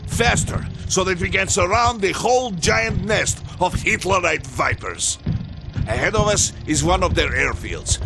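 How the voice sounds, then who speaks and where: An actor playing a stern, rousing Soviet army commander, giving an emphatic battle briefing in a video game. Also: male voice, a little high, vocal fry, background noise, full character voice. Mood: fervent, merciless. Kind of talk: dramatic military briefing, video game cutscene. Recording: music behind the voice. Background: loud music.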